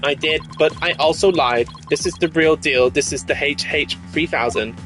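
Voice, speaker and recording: Male reader as smug, menacing bomb-expert villain for a courtroom game; controlled, deliberate delivery, music underneath. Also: a little high, natural voice, low-quality recording. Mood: smug menace. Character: sinister bomb technician, calm and menacing adult man.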